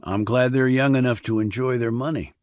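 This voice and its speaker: A man voicing a wry, sardonic army investigator in an audiobook, with dry, clipped, deadpan delivery. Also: mid-range voice, a little fast, no room sound, close to an everyday voice. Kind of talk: audiobook character dialogue.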